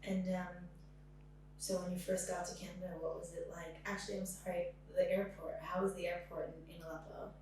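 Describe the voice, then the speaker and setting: A woman's voice: on the low side, level tone, brisk, darkish tone. A woman interviewing, asking her questions hesitantly with many "um"s. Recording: echoey room, faint hum.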